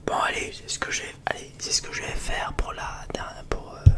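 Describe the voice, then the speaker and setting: An ASMR host chatting casually in a whisper, rambling along in informal, slangy speech close to the microphone. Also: normal speed.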